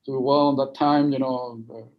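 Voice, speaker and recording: A man from Venezuela with a strong Venezuelan Spanish accent, speaking as a guest on a livestream interview over a video call, halting and full of fillers and restarts. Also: medium pitch, little pitch movement, muffled recording. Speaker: guest, NGO project leader.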